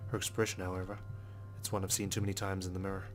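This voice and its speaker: Fast-talking man with a low, even voice. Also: slight background noise. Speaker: let's player. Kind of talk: reading a visual novel aloud with casual commentary.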